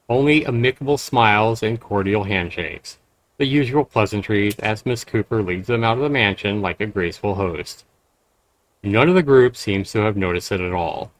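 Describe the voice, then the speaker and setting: Male streamer, lowish pitch, dry recording, narrating game text with blunt casual asides. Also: fairly bright tone, no room sound, rough recording. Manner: blunt, profane. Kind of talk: reading game text aloud with casual commentary.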